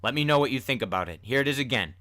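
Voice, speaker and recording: A man's voice: mid-range voice, animated, rapid-fire, pushed and bright, no room sound, clean studio sound. A man hosting a podcast, talking casually and informally with self-deprecating asides. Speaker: podcast host and storyteller. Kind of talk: casual host talk.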